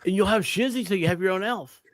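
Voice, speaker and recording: A man on a casual livestream, teasing the others and getting excited as he spins out a joke. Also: high-pitched, fast, no echo. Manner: teasing, gets excited and animated.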